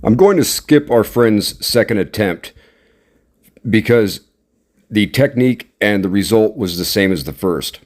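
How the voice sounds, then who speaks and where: A man's voice: fairly low pitch, lively intonation, measured pace, darkish tone. A man giving instructional commentary, speaking directly and encouragingly as he coaches. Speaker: instructor and video host.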